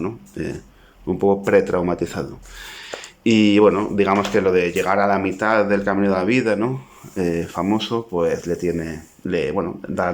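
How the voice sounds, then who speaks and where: Very deep-voiced Spanish man, reflective. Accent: Spanish (Spain). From Spain (Zaragoza). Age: 40s. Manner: reflective, modest, thinking aloud. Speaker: poet and author. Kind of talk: informal talk to camera about his book.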